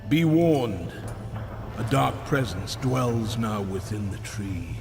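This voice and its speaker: An actor playing an ancient, cryptic male guardian spirit who speaks in slow, solemn warnings, with game music behind him. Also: on the low side, animated. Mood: ominous.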